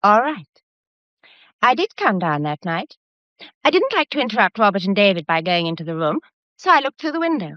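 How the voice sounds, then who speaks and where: An actress playing a polite, guarded and anxious young gentlewoman in a period mystery drama. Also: mid-range voice, very animated, fairly quick, pauses often, no room sound, highs cut off, natural voice. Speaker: actor.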